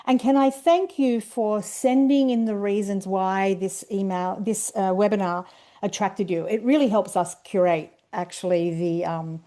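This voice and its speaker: A woman with an Australian accent giving an online presentation over a video call, explaining ideas step by step in a measured way. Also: mid-range voice, fairly even intonation, dark tone. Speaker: webinar presenter.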